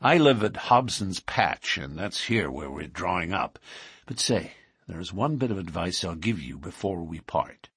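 A man's voice: low voice, big pitch swings, unhurried, a bit bright, husky, no room sound, studio quality, heavily acted. A man reading an audiobook, voicing a friendly, chatty working man giving advice to a stranger. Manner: easygoing, advising.

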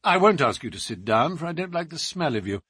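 A man's voice: fairly high pitch, very animated, quick pace, fairly bright tone, breathy edge, no echo, pitched above the performer's own voice, heavily acted. A man voicing a languid, ironic male detective who answers threats with dry mockery. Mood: cool. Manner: cool, dry, mocking.

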